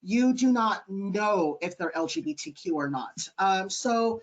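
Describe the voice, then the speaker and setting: A man with a light, high-sounding voice, answering webinar questions earnestly with many ums over a video call. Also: lively intonation, measured pace, highs cut off. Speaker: webinar panelist.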